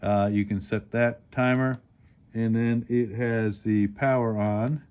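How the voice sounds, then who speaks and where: A man hosting a casual product demonstration, explaining things step by step in a plain-spoken, halting way. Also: mid-range voice, fairly even intonation, darkish tone, smooth. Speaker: radio reviewer and host.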